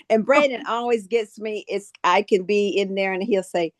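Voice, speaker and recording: A woman's voice: mid-range voice, a little slow. An older woman co-hosting a podcast on a video call, friendly and telling little stories. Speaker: co-host, host's mother. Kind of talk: casual chat.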